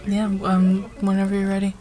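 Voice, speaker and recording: A woman playing a friendly, encouraging boss in an ASMR roleplay, talking to a team in a halting, soft-spoken office manner. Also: medium pitch, monotone, close to an everyday voice. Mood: encouraging, slightly awkward.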